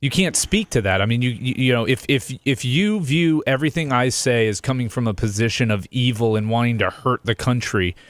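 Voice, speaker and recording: A man's voice: mid-range voice, a little fast, hardly any pauses, dry recording. A man co-hosting a talk show, explaining his points earnestly and repeating words as he forms them. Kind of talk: casual discussion.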